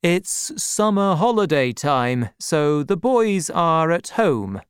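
Woman playing a slow, measured young schoolmaster. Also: sits low, big pitch swings, clean tone, dry recording, studio quality, pitched above the performer's own voice, exaggerated voice. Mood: matter-of-fact. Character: thin young male schoolteacher, matter-of-fact.

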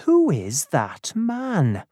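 Audiobook role: anxious gentleman historian, acted out by the narrator, unhurried, emphatic, dry close sound. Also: mid-range voice, wildly swooping pitch, no echo, heavily acted. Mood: polite, curious. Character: worried, nervous male historian.

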